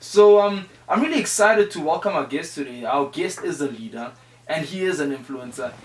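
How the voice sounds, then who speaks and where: A man with a South African accent hosting a casual interview show, informal and excitable, often saying "man" and "like". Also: a little high, distant mic. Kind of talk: casual radio interview chat. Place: studio. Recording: echoey room, off-mic, background noise.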